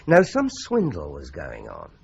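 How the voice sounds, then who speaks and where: An actor playing a shrewd, probing police investigator in a radio drama, measured and dry in manner. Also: male voice, very deep voice, huge pitch swings, gravelly, husky, full character voice. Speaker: police detective investigating a murder. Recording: dull lo-fi radio recording.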